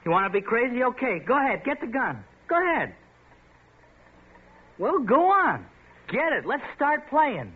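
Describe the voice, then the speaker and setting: A man's voice: very high voice, big pitch swings, normal speed, long pauses, breathy edge, exaggerated voice. A touchy, defensive young man. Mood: defiant. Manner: defensive, snapping back. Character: touchy young man, Pin, stung by taunts. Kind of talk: 1940s radio acting. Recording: tinny lo-fi old radio broadcast with faint hiss.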